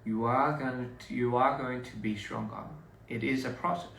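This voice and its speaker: A man's voice: medium pitch, steady tone, normal speed, vocal fry, a bit bright, slight background noise. A man from Australia, chatting casually on a livestream and rambling with many restarts. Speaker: livestream host. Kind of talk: casual livestream chat with viewers. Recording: echoey room, a bit far from the mic.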